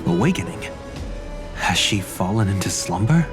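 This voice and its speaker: An actor playing a solemn, philosophical man who speaks in a measured, formal way for a video game. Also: low-pitched, very animated, music in the background. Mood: pensive. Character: solemn, philosophical man pondering a sleeping saviour.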